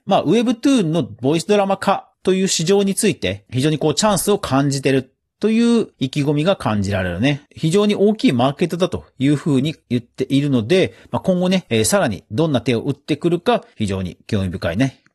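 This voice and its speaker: Male host, higher-pitched, husky, very animated intonation, casual news commentary. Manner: conversational, chatty. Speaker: podcast host.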